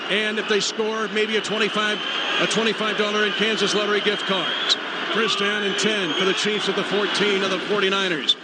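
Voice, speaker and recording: A man's voice: high-pitched, steady tone, brisk. An American man calling live football play-by-play on the radio in clipped, urgent phrases. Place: stadium broadcast booth. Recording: noisy live stadium radio broadcast.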